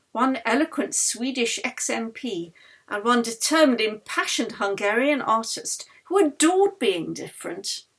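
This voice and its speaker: A woman reads literary fiction aloud as its author. Her voice is fairly high with a breathy, husky grain, and her storytelling is measured and expressive. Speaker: author reading her novel. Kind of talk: literary audiobook narration.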